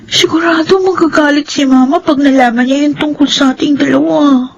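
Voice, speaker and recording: A woman's voice: high-pitched, steady tone, brisk, creaky, muffled recording. An actress playing an anxious, naive young woman, earnest and worried, in a Philippine radio drama. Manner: pleading, worried.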